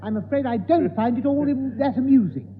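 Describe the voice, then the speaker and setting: An actor playing a pompous, easily offended, formal and pedantic gentleman in a 1960s radio sitcom. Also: extremely high pitch, fairly quick, a crowd in the background. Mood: prim, affronted. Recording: tinny echoing old radio recording with hiss.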